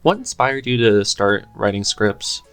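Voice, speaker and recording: A man hosting a podcast, asking a guest questions in a relaxed, curious way. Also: on the low side, measured pace, clear, resonant tone, faint music behind. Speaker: podcast host. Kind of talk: casual interview chat.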